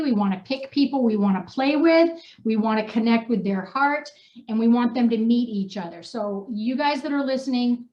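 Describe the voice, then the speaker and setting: A female host from New Hampshire speaks in an informal, chatty way. Her voice sits fairly high, and the recording is slightly muffled with plenty of room echo. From New Hampshire, United States. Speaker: host and co-author. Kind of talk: casual unscripted group chat, reading aloud from a personal story. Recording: dull, echoey room sound.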